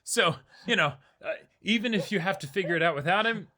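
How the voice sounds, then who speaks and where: Bright-voiced man in casual fan chat. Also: high voice, brisk. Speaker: co-host of an anime reaction show. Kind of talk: casual discussion of an anime episode.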